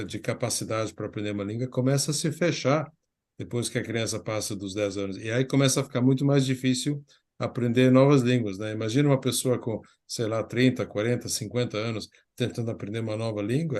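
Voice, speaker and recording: A man's voice: medium pitch, fairly quick, a little mellow. Man hosting a panel, explaining. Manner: explanatory, fillers, hands the floor to others. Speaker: panel host. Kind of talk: moderating a panel talk.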